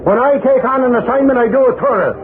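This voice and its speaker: An actor playing an excitable, stubborn Irish newspaper reporter in a blustering 1940s radio style. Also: male voice, very high voice, quick pace. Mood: indignant. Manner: blustering, indignant. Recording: scratchy, noisy, narrowband 1940s radio recording.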